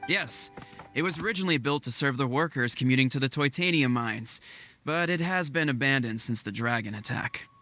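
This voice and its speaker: An actor playing a loyal, dutiful royal guard officer in a video game, speaking formally and earnestly. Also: male voice, mid-range voice, a little fast, sharp tone, natural voice. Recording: music under the voice.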